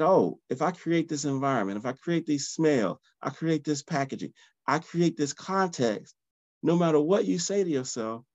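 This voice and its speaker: A man hosting a solo podcast in a groggy early-morning voice, talking in an animated, conversational way and often restarting his phrases. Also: fairly high pitch, no room sound, dull audio. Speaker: podcast host, soccer training founder.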